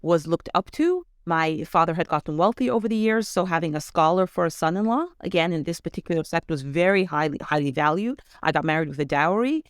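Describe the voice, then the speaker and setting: A woman's voice: lowish voice, expressive, a little fast, hardly any pauses, no echo, clean studio sound. A middle-aged woman from Canada with a North American accent, giving a conversational personal account as an interview guest. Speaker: interview guest, education advocate.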